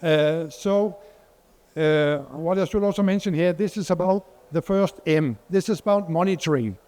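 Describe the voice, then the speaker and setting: A man's voice: a little high, normal speed, darkish tone. A middle-aged man from Denmark, a non-native English speaker, giving a conference presentation as a water expert, hesitant with many fillers and restarts. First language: Danish.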